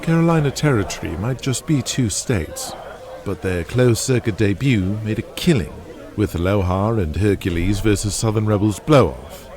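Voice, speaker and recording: Actor as a low-voiced, businesslike wrestling executive. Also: male voice, animated, moderate pace, a little mellow, a faint crowd, natural voice. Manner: matter-of-fact, informative. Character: businesslike, upbeat male wrestling executive reporting figures. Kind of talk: audio drama acting.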